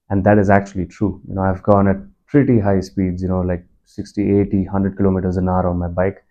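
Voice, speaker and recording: A man giving a casual product review in an explanatory, measured, conversational way. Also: fairly low pitch, mellow, muffled recording. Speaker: product reviewer and motorbike commuter.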